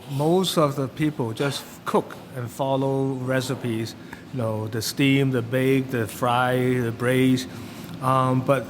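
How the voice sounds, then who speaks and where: Hong Kong-accented man in a noisy hall. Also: medium pitch. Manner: rambling, hesitant, non-native phrasing. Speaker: chef and cooking teacher. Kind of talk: casual interview answers and explanation. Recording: noisy, long-reverberant room.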